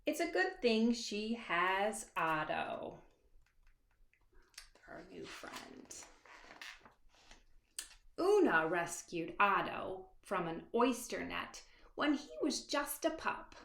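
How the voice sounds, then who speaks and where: Slow, expressive woman reading a storybook, echoey. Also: medium pitch, gravelly. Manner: dramatic, dropping to hushed asides and stretched words; pauses to address young listeners with questions. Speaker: author reading her own picture book. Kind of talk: expressive children's storybook read-aloud. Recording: echoey, reverberant room.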